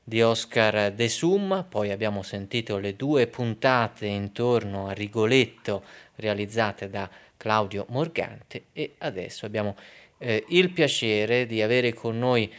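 A man hosting a radio programme, introducing it in a measured, explanatory, slightly hesitant way. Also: on the low side. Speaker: radio host.

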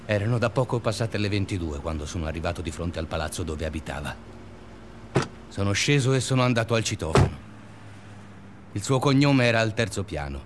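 An actor playing an obsessive, restless male schoolteacher in a confessional radio drama monologue, speaking deliberately in short phrases. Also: fairly low pitch, lively intonation, noisy background, natural voice. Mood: agitated. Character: obsessive, restless male schoolteacher narrating his sleepless days.

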